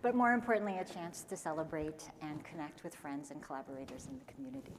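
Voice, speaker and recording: A woman's voice: deep voice, expressive, quick pace, a little hiss or hum. A woman co-hosting a conference stage, reading upbeat announcements about the event. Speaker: event co-host. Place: large conference hall. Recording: long hall reverb, faint ambience.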